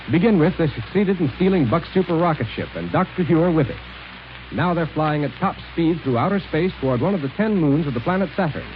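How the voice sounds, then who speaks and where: Highish-voiced vintage radio announcer man. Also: lively intonation, brisk. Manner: dramatic, story-telling. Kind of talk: 1930s radio serial narration. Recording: muffled, faintly noisy 1930s radio recording.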